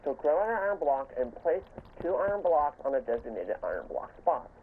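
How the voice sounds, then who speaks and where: A man's voice: a little high, big pitch swings, moderate pace, narrow, tinny audio. Man with stumbling speech, thin noisy recording. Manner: stumbling, repetitive delivery, stutters at times. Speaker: gaming video host. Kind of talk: casual Minecraft building tutorial commentary.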